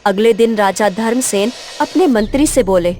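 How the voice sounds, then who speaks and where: A woman's voice: on the high side, lively intonation, moderate pace, mellow, a little hiss or hum. A woman narrator reading a scripted folk tale in storytelling style.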